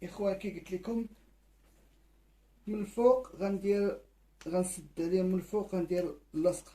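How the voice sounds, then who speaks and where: A man's voice: sits high, fairly even intonation, warm tone. A man with a Moroccan Arabic accent, giving casual how-to commentary to viewers in a bird-keeping video. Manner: quick, repetitive, addresses viewers as brothers.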